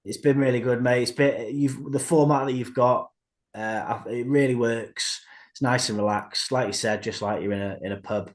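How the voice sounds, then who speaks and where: A man's voice: medium pitch, fairly even intonation, moderate pace. A man from North Wales, a podcast guest, talking in an easygoing way with hesitant restarts. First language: English. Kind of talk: casual chat.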